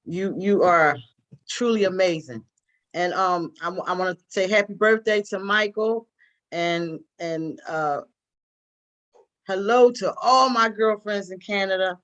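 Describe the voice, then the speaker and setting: A woman's voice: mid-range voice, unhurried, a bit bright, dull audio. A middle-aged woman and grandmother on a video call, effusive and thankful. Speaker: meeting participant. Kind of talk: casual video call greeting.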